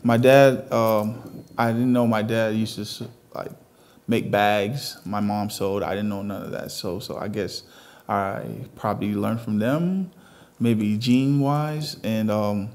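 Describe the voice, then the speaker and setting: A man's voice: lowish voice, steady tone, a little slow, darkish tone. A man in his thirties from Ghana, answering interview questions in an earnest, matter-of-fact, conversational way. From Ghana, moved abroad at thirteen. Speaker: interview guest, fashion designer. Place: indoor television studio. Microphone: lapel mic.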